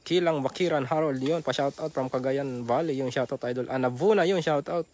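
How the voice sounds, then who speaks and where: A man with a Filipino accent chatting casually as he reads out shout-outs and thanks livestream viewers. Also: medium pitch, brisk, crowd noise. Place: outdoors on a grassy waterside bank. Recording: clearly noisy outdoor phone recording.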